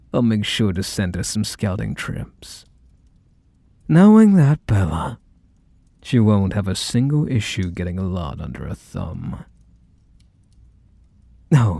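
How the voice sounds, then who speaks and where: A man's voice: lowish voice, big pitch swings, dark tone, no room sound, heavily acted. A man playing a possessive, teasing mafia boss lover in an intimate ASMR roleplay, speaking in a mock-sweet, condescending way full of menacing affection. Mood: doting, manipulative. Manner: unhurried, mock-sweet, condescending pet names. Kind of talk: ASMR roleplay, intimate one-sided dialogue.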